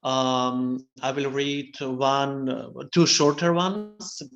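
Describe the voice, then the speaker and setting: A man's voice: mid-range voice, extremely slow, a bit bright, highs cut off, faint music behind. A man whose first language is Slovene, speaking carefully and unhurriedly before reading his poems over a video call. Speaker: poet.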